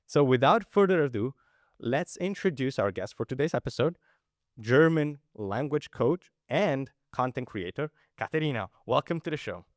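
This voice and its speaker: A man's voice: mid-range voice, lively intonation, normal speed, no echo, studio quality. A young man from Italy hosting a podcast, talking casually and enthusiastically, rambling through restarts and fillers. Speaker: podcast host. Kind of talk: casual interview chat.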